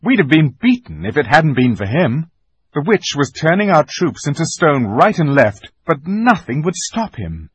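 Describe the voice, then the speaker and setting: A man's voice: a little high, big pitch swings, moderate pace, breathy edge, no room sound, voice pushed up. A man voicing an earnest teenage boy war leader in a storybook, speaking emphatically and urgently about battle. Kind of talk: dramatised audiobook reading of a children's fantasy.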